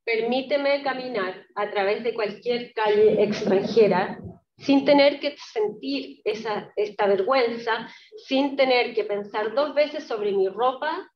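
A woman giving a public talk on poetry with readings, explaining in a halting way and often checking with short tag questions. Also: fairly high pitch. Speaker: lecturer presenting Palestinian poetry. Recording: roomy, distant, dull lo-fi pickup.

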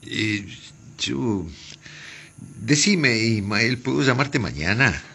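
Shy, tender Argentine theatre man: gravelly, halting, very animated radio-play delivery. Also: medium pitch, noisy background. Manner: stammering, halting, repeating words. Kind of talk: radio drama acting.

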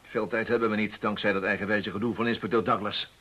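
An actor playing an eager, determined investigator in a radio play, urgent and persuasive. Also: male voice, lowish voice, fairly even intonation, quick pace, fairly bright tone, husky. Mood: brisk. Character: eager, determined male amateur investigator, impatient with police. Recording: dull lo-fi radio drama recording.

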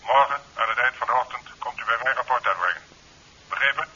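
An actor playing a commanding police commissioner over the telephone in a 1970s radio drama. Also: male voice, mid-range voice, fast, pushed and bright, slightly rough, muffled recording. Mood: brisk, commanding. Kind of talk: 1970s Dutch radio play acting. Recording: thin telephone sound on old radio tape.

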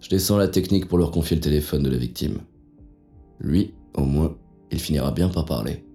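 Jaded, contemptuous detective narrator in noir audio drama; bottom-of-the-range pitch, gravelly fry, sardonic deadpan. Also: male voice, fairly quick, faint music behind. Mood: cynical, scornful. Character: cynical, contemptuous male police inspector, hardboiled noir narrator.

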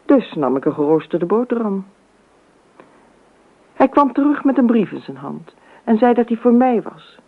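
An actress playing a dreamy, imaginative, talkative wife in a radio drama, with expressive theatrical delivery. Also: female voice, fairly high pitch, normal speed, long pauses. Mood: recounting, indignant. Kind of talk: radio drama monologue and dialogue. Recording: tinny, narrow old radio recording.

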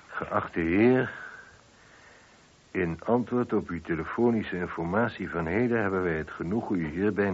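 A man's voice: low voice, husky. A man acting a methodical police inspector in a Dutch radio play. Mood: businesslike. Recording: dull, muffled old radio recording.

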